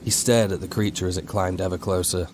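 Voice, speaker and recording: A man reading a scripted horror audiobook as narrator, in a measured, dramatic storytelling manner. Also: sits low, steady tone, darkish tone.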